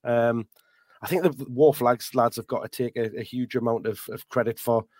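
A man with a hoarse, croaky voice, talking in a halting, earnest way as a podcast co-host. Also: medium pitch, steady tone, a little mellow, dry recording, clean studio sound. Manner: halting, many restarts, earnest. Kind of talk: casual chat.

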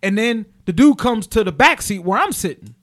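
A man's voice: sits high, normal speed. A young man hosting a casual chat, telling stories and acting out the people in them with different voices. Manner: animated, acts out quotes in different voices. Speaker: podcast host.

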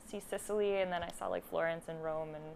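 A woman's voice: on the low side, steady tone, quick pace, gravelly, a little mellow. Casual Canadian woman over background noise. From Ontario, Canada. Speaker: guest, artist and art teacher. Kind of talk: casual interview chat.

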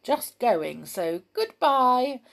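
A woman voicing a brisk little male gnome doctor in a storybook reading. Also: high voice, very animated, vocal fry, fairly bright tone, voice pushed up, exaggerated voice. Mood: cheerful.

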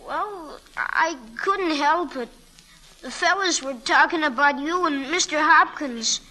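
High, bright-voiced sulky schoolboy. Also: female voice, slow, heavily acted, poor audio. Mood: hurt, defensive. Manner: blunt, boyish, folksy slangy talk. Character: sulky, wounded, earnest schoolboy, lovesick for teacher. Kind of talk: 1940s radio drama acting.

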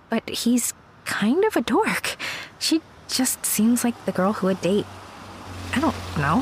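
Audio drama: impulsive, gushing young woman, high-voiced, unhurried, confiding and nosy. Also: a little hiss or hum. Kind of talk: naturalistic audio drama acting.

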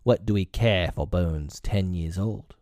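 A man playing a pack of wolves that jeer and snarl together, a little higher than his reading voice. Slow, dark-toned and dry. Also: dry recording. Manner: snarling, jeering. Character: snarling mob of young male wolves. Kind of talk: storybook reading.

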